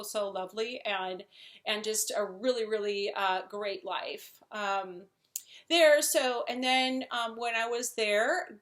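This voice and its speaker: A woman's voice: medium pitch, a bit bright. Effusive woman with a Wisconsin accent. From Wisconsin, USA, and central Mexico. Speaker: vlogger introducing herself. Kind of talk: casual chat to camera.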